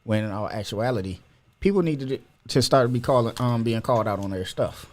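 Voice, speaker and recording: A man's voice: mid-range voice, fairly quick. A man hosting a casual podcast, talking loosely and opinionated with lots of slang. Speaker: podcast host.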